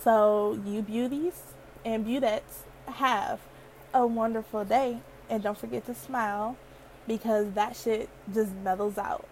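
Young woman podcaster, girlish and higher-pitched, chatty and laughing, faint hiss under the voice. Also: fairly even intonation, slow, rough recording. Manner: chatty, playful, laughs often. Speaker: podcast host. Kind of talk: casual solo podcast chat.

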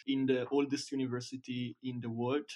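A man in his twenties with an Italian accent, a guest chatting casually in careful, halting English learned as a second language. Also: medium pitch, level tone, a little mellow. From Italy. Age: 20s. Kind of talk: casual chat.

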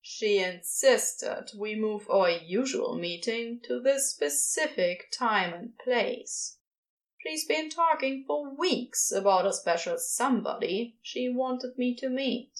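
A woman voicing a flustered, smitten, grumpy man in a storybook, with a blunt and halting manner. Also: on the high side, lively intonation. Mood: indignant, flustered.

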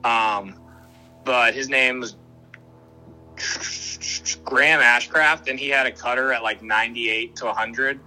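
Bright-voiced young man chatting. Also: mid-range voice, a little slow, long pauses, poor audio. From Boston area. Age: young adult. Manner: relaxed, rambling, many fillers and restarts. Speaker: minor league baseball player, guest. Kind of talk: casual interview answers. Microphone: video call.

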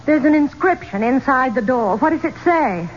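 A woman's voice: sits high, animated, normal speed. An actress playing an adventurous, brooding and curious woman trapped in a tomb, in theatrical, portentous 1970s radio drama style. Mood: urgent. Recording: dull, noisy old radio broadcast.

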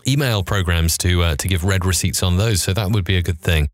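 A man's voice: low voice, a little fast, no room sound, clean studio sound. A middle-aged man interviewing conversationally as a podcast host, affirming his guest and leading carefully into questions.

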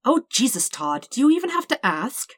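A woman's voice: sits high, sing-song, moderate pace, no room sound, studio quality, pitched above the performer's own voice. A woman voicing a flustered, dramatic, lovestruck young man in a story, rambling in wordy formal phrases. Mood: excited, hopeful.

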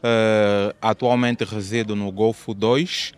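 A man from Angola with a low, clear voice introduces himself as a photojournalist. He speaks slowly and deliberately. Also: flat delivery. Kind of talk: interview answer.

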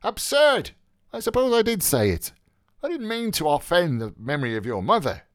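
A man's voice: high-pitched, very animated, moderate pace, dry recording, clean studio sound, pitched above the performer's own voice. A man voicing a brash, mocking young medical student in an audiobook, theatrical and flippant, with exclamatory, declamatory delivery. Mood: mocking, bold. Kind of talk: literary audiobook character voice.

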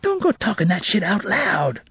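Casting: male narrator playing swaggering teen street kid, slangy and bullying, lively pitch swings, slightly husky. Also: moderate pace, no echo, dull audio, exaggerated voice. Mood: pushy, threatening. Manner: slangy, pushy, bullying talk. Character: cocky, menacing teenage boy with street swagger, a would-be cheat.

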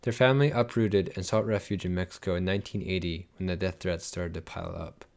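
A man telling a life story in scripted narration, with brisk summaries. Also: sits low, steady tone, darkish tone.

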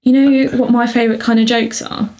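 A woman's voice: on the high side, flat delivery, a little fast, mellow. A young woman co-hosting a casual book podcast, recapping the plot and teasing her co-host dryly.

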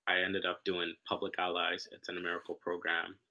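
A young man from Sudan, raised in Kenya, speaks as a podcast guest in an easygoing, halting way, often reaching for filler words. His voice sits low and stays level. It has a bright, sharp edge. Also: highs cut off. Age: young adult. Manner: relaxed, halting, many fillers. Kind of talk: casual interview conversation.

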